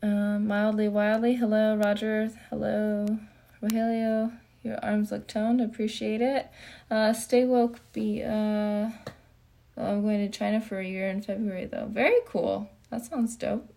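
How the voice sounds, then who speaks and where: A young woman from Japan hosting a relaxed live Q&A stream, answering viewer comments in a chatty way with lots of fillers. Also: medium pitch, measured pace, gravelly. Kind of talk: casual live Q&A chat, reading comments.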